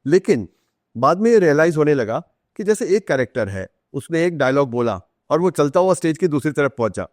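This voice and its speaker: Male interview guest, Indian accent, slightly high voice, dry close sound. Explains emphatically, with repeats and rhetorical questions. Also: sing-song, fairly quick, darkish tone, no echo. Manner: mixes Hindi with English phrases. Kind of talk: explanatory conversation with anecdotes.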